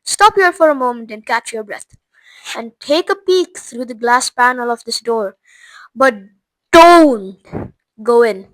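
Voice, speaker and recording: A woman voicing an eccentric male factory owner who explains things briskly. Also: on the high side, animated, fairly bright tone, dry recording, rough recording. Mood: explaining.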